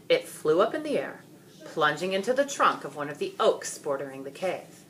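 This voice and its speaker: A woman reading a children's story aloud in dramatic, expressive, deliberate narration. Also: low voice, slight background noise.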